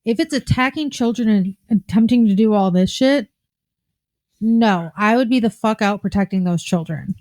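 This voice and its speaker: A woman's voice: medium pitch, normal speed, a little mellow, no echo. Blunt, swearing woman in dry chat. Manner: blunt and profane. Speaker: podcast co-host. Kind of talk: casual chat.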